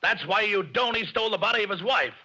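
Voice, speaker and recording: An actor playing a gruff, skeptical police lieutenant in a 1940s radio drama, with a husky, tough-talking manner. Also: male voice, high-pitched, lively intonation, quick pace, sharp tone, heavily acted. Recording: dull 1940s radio broadcast recording.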